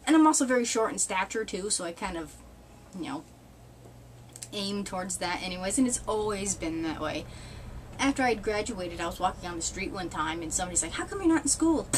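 A woman's voice: mid-range voice, animated, fairly quick, a little hiss or hum, low-quality recording. Chatty woman in her thirties, wry and rambling. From New England. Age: 30s. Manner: rambling, wry, laughs often, mild swearing. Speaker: vlogger. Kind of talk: casual chat. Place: small indoor room with red cloth backdrop.

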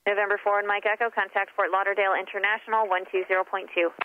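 Brisk, even-toned tower controller over radio. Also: mid-range voice. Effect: aviation radio. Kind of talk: clipped air traffic control instructions. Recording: dull, slightly noisy radio transmission.

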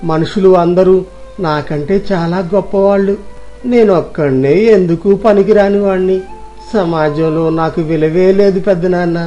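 A gloomy young village nephew, acted by a man, plaintive and full of self-doubt. The voice is very high for a male and darkly mellow. The story recording is dull, with faint music behind. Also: moderate pace, close to an everyday voice. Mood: dejected. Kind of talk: Telugu moral story audio drama.

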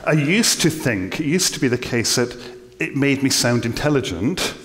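A middle-aged man with an English accent giving a measured, scholarly theology lecture from a church lectern. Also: medium pitch, expressive, fairly bright tone. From England. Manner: measured, scholarly, dry humor. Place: large church, indoors. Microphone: lectern mic. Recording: long church reverb.

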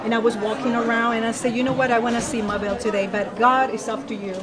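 A woman's voice: a little high, steady tone, brisk. A woman from Venezuela giving an emotional testimonial at an expo, gushing and switching between Spanish and English mid-sentence. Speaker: event attendee giving a testimonial. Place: large indoor expo hall. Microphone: phone camera held close. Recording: busy expo hall crowd noise. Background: loud crowd chatter.

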